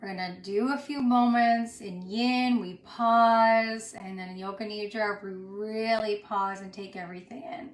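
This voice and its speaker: A woman teaching yoga and guided meditation in a soothing, unhurried way, pausing between short phrases. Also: medium pitch, steady tone. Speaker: yoga instructor. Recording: roomy echo with music underneath. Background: music.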